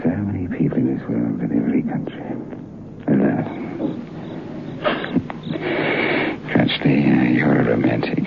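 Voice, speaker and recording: An actor playing a restless, romantic writer who muses haltingly aloud in an old-time radio drama. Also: male voice, extremely low pitch, expressive, creaky, exaggerated voice. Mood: brooding musing. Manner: musing aloud, halting, talking to himself. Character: restless English writer on holiday, romantic, brooding. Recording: muffled noisy old radio broadcast.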